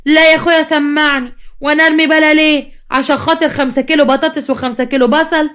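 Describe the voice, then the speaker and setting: Woman doing a quarrelsome, high-voiced Egyptian market customer. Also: racing, a bit bright. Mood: accusing. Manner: shrill, accusatory, haggling. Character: quarrelsome accusing woman customer at a vegetable stall. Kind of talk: dramatized storytelling.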